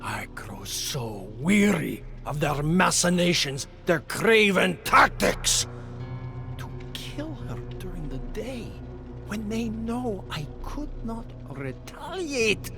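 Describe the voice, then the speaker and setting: A man's voice: sits high, very animated, slow, vocal fry, a bit bright, exaggerated voice. An actor playing an imperious, vengeful male vampire lord in a game drama, grave, theatrical and full of disdain. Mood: weary fury. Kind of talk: dramatic game cutscene acting. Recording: music behind the voice. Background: music.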